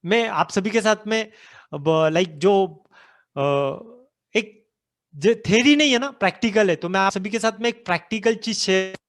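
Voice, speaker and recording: A man's voice: high-pitched, moderate pace, fairly bright tone. A man in his thirties from India, a business coach giving a motivational talk who asks the listeners rhetorical questions. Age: 30s.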